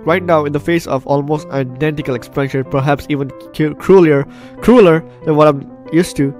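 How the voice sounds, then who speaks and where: A man hosting a gameplay video, reading game text aloud and breaking in with expressive reactions. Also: on the high side, moderate pace, faint music behind. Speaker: gaming video host.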